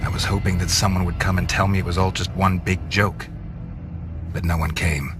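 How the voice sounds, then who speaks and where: A man playing a brooding vampire who narrates a noir video game in a terse, grim, deadpan way. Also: low voice, normal speed, over music, natural voice. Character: brooding gravel-deep male vampire, hardboiled noir narrator. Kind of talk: scripted first-person noir game narration.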